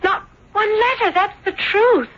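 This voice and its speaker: An actress playing a tender, torn young small-town sweetheart, with hesitant, emotional delivery in radio drama style. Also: female voice, extremely high pitch, a little slow. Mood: hurt, defensive. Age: young adult. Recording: dull old radio broadcast.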